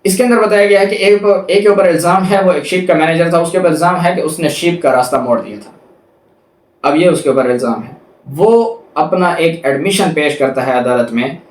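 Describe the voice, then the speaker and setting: Male lecturer, Pakistani accent, fairly high voice, didactic, step-by-step explanation, echoey room. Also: a little fast. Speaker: law lecturer. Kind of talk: lecture, reading statutes aloud and explaining them. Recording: echoey, roomy sound.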